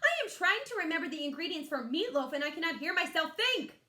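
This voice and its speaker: A woman's voice: very high voice, sing-song, fast talker, pushed and bright, reverberant, pitched above the performer's own voice, full character voice. A woman voicing a cold, haughty storybook mother who is impatient and exclaims irritably. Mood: irritated.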